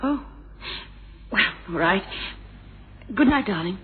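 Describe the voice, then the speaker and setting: A hesitant wife in a radio mystery, speaks in polite, halting phrases with a deferential air. Her voice sits at a medium pitch. The recording is tinny with faint noise. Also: animated. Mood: timid, anxious. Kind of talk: 1970s radio drama acting.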